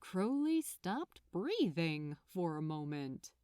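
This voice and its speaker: A woman's voice: lowish voice, very animated, slow pace, dark tone, clean tone, no room sound, studio quality. A woman narrating fiction expressively as an audiobook reader, reading from a script.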